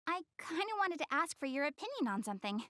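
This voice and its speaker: A woman's voice: sits high, sing-song, quick pace, pushed and bright, no room sound, studio quality, heavily acted. A young woman playing a proud, easily flustered, cute anime goddess girl in a video game, speaking bashfully and defensively to the player. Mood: hesitant, curious. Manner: bashful, defensive, stammering when embarrassed.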